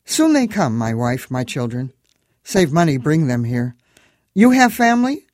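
A man's voice: on the high side, sing-song, measured pace, a little mellow, no echo, studio quality. A man voicing a chatty, kind male cab driver from Moldova, with a Moldovan accent and clipped broken English. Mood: friendly.

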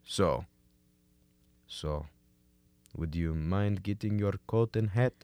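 An actor playing a hapless, soft-hearted Soviet security man, naive and reluctant, with formal, earnest phrasing in a radio comedy. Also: low-pitched, slow, clean tone, no room sound. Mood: apologetic, gloomy.

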